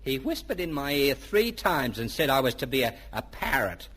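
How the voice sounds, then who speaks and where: A man's voice: medium pitch, big pitch swings, normal speed, fairly bright tone, slightly rough. An actor playing a stubborn, boastful Australian family man in an old-time radio comedy, indignant and emphatic. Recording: old radio broadcast recording.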